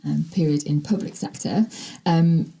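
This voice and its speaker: A woman in her forties, a guest in a podcast studio, talking in a halting way with many filler sounds. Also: low-pitched, fairly even intonation, creaky, mellow, low-quality recording. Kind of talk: casual interview.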